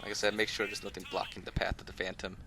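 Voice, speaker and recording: A man's voice: on the low side, flat delivery, fast talker, pushed and bright, a little hiss or hum. A man giving casual, unscripted gameplay commentary as a video host, talking in an easygoing, rambling, conversational way. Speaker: host of a gaming video channel.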